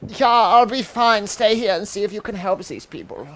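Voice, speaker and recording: A man's voice: high-pitched, moderate pace, fairly bright tone, low-quality recording. Man acting a pompous glad-handing mayor. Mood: hearty. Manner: pompous, speechifying. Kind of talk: amateur script read over voice chat.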